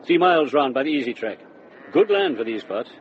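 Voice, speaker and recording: An actor playing a good-natured monk who tends sheep and grumbles in jest, in a radio drama. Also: male voice, medium pitch, lively intonation, fairly quick, lightly acted. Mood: easy, informative. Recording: dull, noisy radio drama recording.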